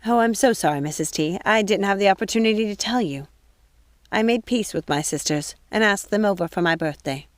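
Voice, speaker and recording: A woman's voice: medium pitch, a little fast, no echo, natural voice. A woman voicing a cunning witch who fakes sweetness and concern. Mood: falsely sweet.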